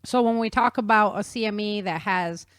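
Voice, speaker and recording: A woman's voice: medium pitch, normal speed, clean tone, no echo, clean studio sound. A woman hosting a talk show, explaining science in a chatty, informal way and checking in with her listener.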